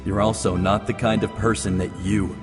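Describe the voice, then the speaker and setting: Game role: man as a grave legendary hero, deep and proclamatory, reverberant over loud music. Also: flat delivery, moderate pace, natural voice. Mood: solemn. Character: solemn, knowing ancient male hero passing on his name. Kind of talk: dramatic video game voice acting.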